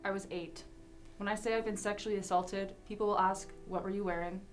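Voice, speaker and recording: A woman's voice: medium pitch, steady tone, fairly quick, soft background music. A young adult woman, a student, reading a personal account aloud. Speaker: student reader.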